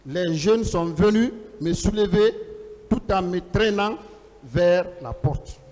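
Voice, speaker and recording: A man speaking as a lawyer in a formal hearing, reading testimony aloud and putting questions in a deliberate, declamatory way with pauses between short phrases. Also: high-pitched, darkish tone. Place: large courtroom. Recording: reverberant large courtroom, long echo.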